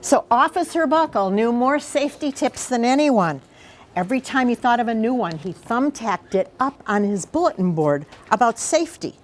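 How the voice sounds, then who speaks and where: A woman's voice: fairly high pitch, lively intonation. Female read-aloud storyteller for elementary kids, informal and explanatory, interactive with the listeners. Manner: explanatory, pauses to question the children. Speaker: mayor reading aloud to schoolchildren.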